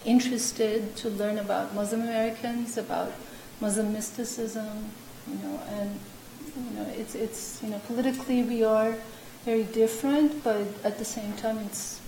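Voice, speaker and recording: A woman's voice: on the high side, fairly even intonation, normal speed, vocal fry, few pauses, dark tone. A woman professor on a discussion panel, telling chatty anecdotes with many fillers. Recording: echoey room with faint noise.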